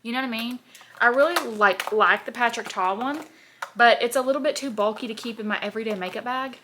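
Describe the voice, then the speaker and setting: A woman's voice: fairly high pitch, a little fast, noisy background. A woman in her twenties, a beauty vlogger, chatting casually and sassily while doing her makeup at home. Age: 20s. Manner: chatty, sassy, animated asides. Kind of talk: casual get-ready-with-me chat. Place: home, at her parents' house.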